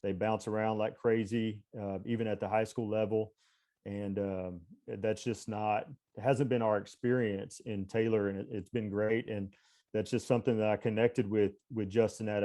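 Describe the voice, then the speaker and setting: A man's voice: fairly low pitch, dark tone. A man in his thirties with a mild Texas accent, chatting as a guest and rambling with fillers and restarts. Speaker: guest, baseball coach. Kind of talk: casual conversation.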